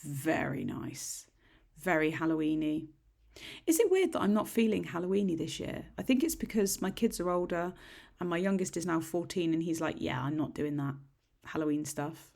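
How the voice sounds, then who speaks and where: A middle-aged woman with a husky, slightly croaky voice, chatting casually through a nail tutorial in a rambling, self-deprecating way. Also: sits low, animated, moderate pace, darkish tone. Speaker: nail technician. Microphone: desk microphone.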